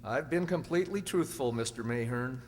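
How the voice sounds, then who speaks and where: A man playing an earnest, anxious young husband in an amateur radio drama, halting and emphatic as he pleads. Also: medium pitch, close to an everyday voice. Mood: defensive. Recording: echoey hall, faint hum.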